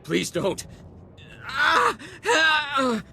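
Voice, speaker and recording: A man's voice: extremely high pitch, huge pitch swings, very slow, gravelly, pushed and bright, soft background music, full character voice. An actor playing a frightened cyborg captive in a video game, desperate and pleading for his life. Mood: terrified, pleading.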